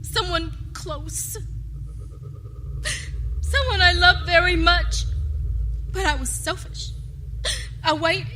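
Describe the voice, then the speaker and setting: A very high-voiced, tearful trapped woman. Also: bright, forceful tone, full character voice. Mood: guilty grief. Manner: tearful, halting, haunted. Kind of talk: live stage audio drama acting. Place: theater stage. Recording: noisy live theater stage recording.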